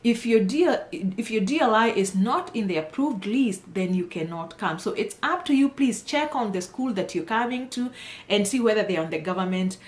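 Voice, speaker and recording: A woman's voice: medium pitch, expressive, normal speed. A woman speaking informally to viewers as an immigration consultant, emphatic and encouraging, repeating phrases for stress. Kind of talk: informal explainer addressed to viewers. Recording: roomy, echoing voice.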